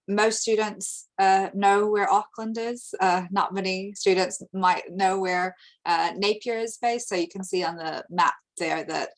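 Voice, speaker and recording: An American woman from the United States giving a webinar presentation over slides, steady and informative, with frequent ums. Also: medium pitch. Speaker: international recruitment presenter.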